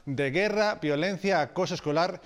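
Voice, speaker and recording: A man's voice: on the high side, normal speed. A man anchoring a news show, presenting stories and handing over to colleagues. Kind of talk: news presenting with co-host banter.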